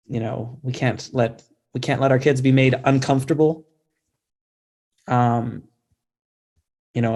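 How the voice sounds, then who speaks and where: Male guest author, mid-pitched, conversational, thoughtful and self-deprecating in an informal interview. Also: a little mellow.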